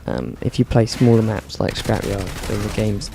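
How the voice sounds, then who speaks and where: Flat-voiced man commenting gameplay. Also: on the low side, moderate pace, gravelly, background noise. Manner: monotone, dry, many "um"s. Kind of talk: casual game commentary. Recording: game gunfire in the background.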